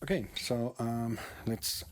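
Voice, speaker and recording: A man demoing a synthesizer in a casual tutorial, talking hesitantly with many pauses and fillers. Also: steady tone. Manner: hesitant, many ums and uhs. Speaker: music tutorial host. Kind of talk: casual hands-on demonstration. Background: loud synthesizer and bass sounds.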